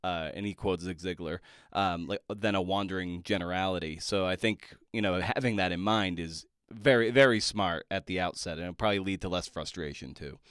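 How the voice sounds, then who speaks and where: Male podcast host. Deep, clean voice, dry close sound, thoughtful and halting conversational delivery. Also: fairly bright tone, smooth, dry recording. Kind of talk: conversational interview.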